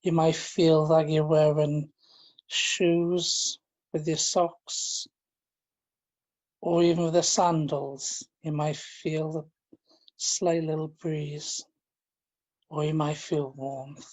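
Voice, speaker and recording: A man leading a guided relaxation, speaking in a gentle, informal and halting way, often repeating his phrases. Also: a little high, little pitch movement. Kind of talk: guided relaxation, meditation instruction.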